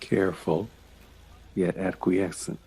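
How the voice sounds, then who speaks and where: An actor playing a cultured, affectionate lover and pianist in an audio drama, wry, teasing and poetic. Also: male voice, low-pitched, fairly even intonation, slow, dark tone, husky, exaggerated voice, low-quality recording. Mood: flirtatious, poetic.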